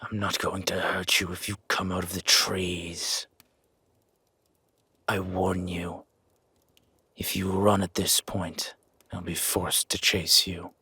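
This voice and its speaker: A man voicing a gruff, wary werewolf in a fantasy audio roleplay, brooding and terse, with a breathy, menacing growl. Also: low-pitched, flat delivery, takes it slowly, long pauses, bright, forceful tone, no echo, exaggerated voice. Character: gruff, wary male werewolf living in the wilderness.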